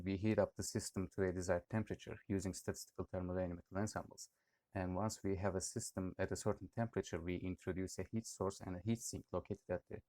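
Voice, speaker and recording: A man's voice: low voice, darkish tone, dry recording. A young man from Turkey reading out a student project presentation, with occasional hesitations. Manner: read-aloud explanation with occasional "uh" hesitations.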